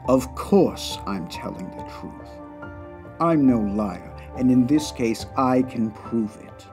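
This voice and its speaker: A man voices a haughty aristocratic villain for a comic-book drama. His voice sits at an average male pitch, and his phrasing is formal, precise and self-important. Music runs faintly behind him. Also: expressive, slow pace. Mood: haughty, composed. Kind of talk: comic dub voice acting.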